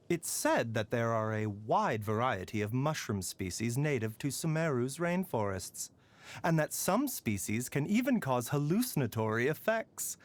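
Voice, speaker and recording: A man playing a gentle, courteous pharmacist doctor in a game, speaking in a soft, measured and politely wry manner. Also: on the high side. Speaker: game character voice lines. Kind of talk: scripted game voice lines.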